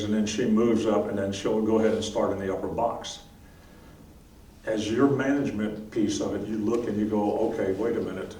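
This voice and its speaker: A man's voice: lowish voice, dark tone. A man teaching a beekeeping class, answering questions in a plain, practical way and often checking in with "okay?". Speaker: beekeeping instructor. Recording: distant mic in echoey room with faint noise.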